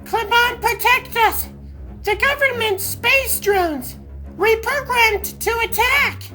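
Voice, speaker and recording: A haughty female cat sorceress from a cartoon, as a man puts her on, pitched very high. Her delivery is affected and theatrical, every phrase grandly put. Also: slow pace, pushed and bright, faint music behind, exaggerated voice. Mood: alarmed. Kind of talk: comic dub voice acting.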